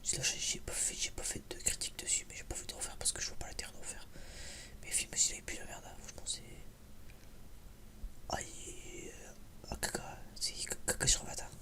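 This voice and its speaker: A man whispering in a breathy, almost unvoiced voice, chatting casually and hesitantly in a rambling, blunt, slangy ASMR style. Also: fairly quick. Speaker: ASMR YouTuber, film reviewer.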